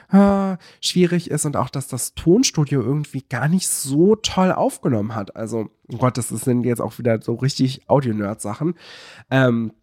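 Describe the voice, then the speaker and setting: A man's voice: mid-range voice, darkish tone, no echo, clean studio sound. A man co-hosting a podcast in casual chat, rambling with lots of fillers and self-corrections. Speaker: podcast co-host.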